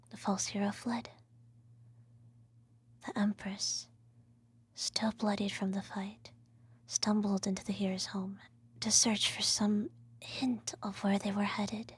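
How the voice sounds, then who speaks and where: A woman playing an evil empress and doting adoptive mother, telling a bedtime story in a breathy ASMR whisper with formal, measured diction. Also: mid-range voice, flat delivery. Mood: hushed, solemn storytelling.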